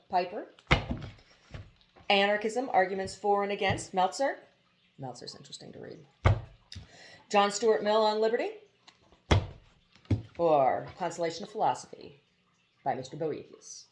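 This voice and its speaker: Lower-pitched woman reading a storybook. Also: moderate pace, long pauses, noisy background. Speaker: audiobook reader. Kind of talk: expressive storybook narration with brief casual commentary.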